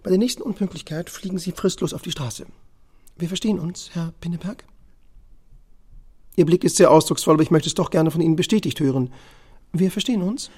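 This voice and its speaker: An actor playing a stern, officious department store boss in a radio drama, clipped, pedantic and condescending. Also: male voice, high voice, a little fast, pauses often, warm tone, husky. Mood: cold threatening. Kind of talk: German radio drama acting.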